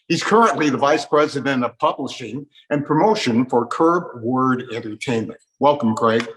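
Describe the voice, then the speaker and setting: A man's voice: mid-range voice, expressive, moderate pace, a bit bright, slight background noise, poor audio. A man with an American accent hosting a talk program, delivering scripted introductions like a radio presenter. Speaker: host.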